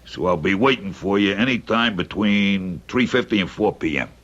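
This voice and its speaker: An actor playing a wary, wisecracking young crook in old-time radio drama, with sardonic streetwise gangster talk and a hard-edged voice. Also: male voice, deep voice, expressive, normal speed, fairly bright tone. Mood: wary, wry. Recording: dull old radio recording.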